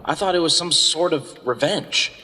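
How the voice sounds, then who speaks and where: A man's voice: on the high side, moderate pace, pushed and bright. A man playing a curious young student in an audio drama, inquisitive, in a reverberant space with faint noise. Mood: puzzled, intrigued. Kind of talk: fan audio drama acting.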